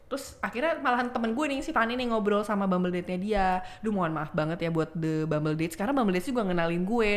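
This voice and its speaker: A woman in her twenties with a Jakarta accent, telling stories in casual slangy chat and acting out the quotes as she goes. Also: mid-range voice. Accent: Jakarta Indonesian. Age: 20s. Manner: chatty, slangy storytelling with acted-out quotes. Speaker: podcast guest.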